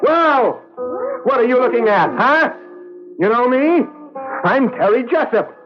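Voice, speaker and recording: An actor playing a sullen, defiant ex-football player and henpecked husband with coarse, blunt speech, in 1970s radio drama style. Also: male voice, very high voice, lively intonation, a little slow. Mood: belligerent, shouting. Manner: blunt, coarse, ungrammatical talk. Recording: muffled vintage radio recording, faint music.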